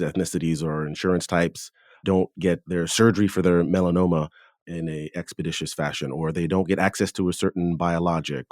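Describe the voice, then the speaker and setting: Deep-voiced male podcast interviewer, dry sound. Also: no echo. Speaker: podcast host, dermatologist. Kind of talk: interview, conversational academic discussion.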